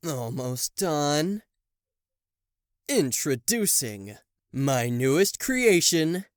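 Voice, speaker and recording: A man voicing a theatrical, sarcastic demon king of hell in a roleplay audio drama, proud and showy in manner. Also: a little high. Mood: showy, puzzled. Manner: sarcastic, showy, stammering in disbelief. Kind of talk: roleplay audio drama acting addressed to the listener.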